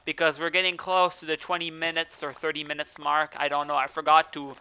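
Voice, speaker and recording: A man speaking English with a French accent hosts a relaxed gaming podcast. His voice is very bright and smooth, and he rambles, restarting sentences as he goes. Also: on the high side, a little fast. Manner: rambling, self-correcting, chatty. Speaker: let's play host. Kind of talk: casual podcast chat while gaming.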